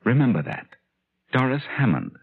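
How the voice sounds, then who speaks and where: An actor playing a smug, sinister, theatrical plotter with a husky voice in a 1940s radio drama. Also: male voice, medium pitch, very animated, no echo, exaggerated voice. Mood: brisk, sinister. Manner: deliberate, theatrical, knowing. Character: smug, sinister male plotter guiding a murder story. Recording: muffled, tinny 1940s radio recording with music.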